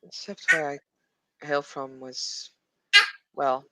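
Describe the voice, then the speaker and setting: A Dutch-accented man voicing an earnest female werewolf raised in a quiet pack, speaking in a measured, formal way and pausing to find his words. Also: a little high, flat delivery, very noisy. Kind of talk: in-character roleplay.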